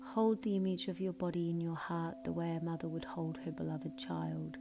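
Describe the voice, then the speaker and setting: A woman with a fairly low voice narrates a guided meditation. She is soothing and measured, letting phrases soften into breath. There is clear humming noise behind her. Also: steady tone. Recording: clearly audible background hum and noise.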